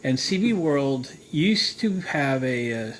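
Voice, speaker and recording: A man's voice: medium pitch, expressive, slow, low-quality recording. An American man hosting a repair how-to video, talking in a casual, folksy way and thinking aloud as he demonstrates. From United States. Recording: clear background noise.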